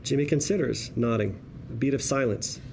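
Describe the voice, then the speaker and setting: Mellow man narrating a screenplay. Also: mid-range voice, normal speed, creaky, a little hiss or hum. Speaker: narrator reading screenplay directions. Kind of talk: scripted narration at a table read.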